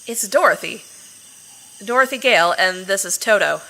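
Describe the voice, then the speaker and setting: A woman's voice: mid-range voice, expressive, normal speed, sharp tone. A woman playing a plucky, wry young girl who narrates and acts in a fantasy audio drama. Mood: flustered. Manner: wry, colloquial, sardonic.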